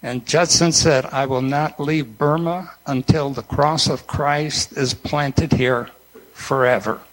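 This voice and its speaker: A man preaching a commissioning address, stressing key phrases with deliberate emphasis. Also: fairly high pitch, fairly even intonation, low-quality recording.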